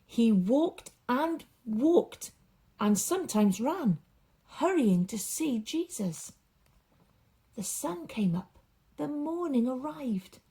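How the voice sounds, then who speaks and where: A woman reading a storybook aloud for young children, with dramatic emphasis and drawn-out repeated words. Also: a little high, mellow, slightly rough.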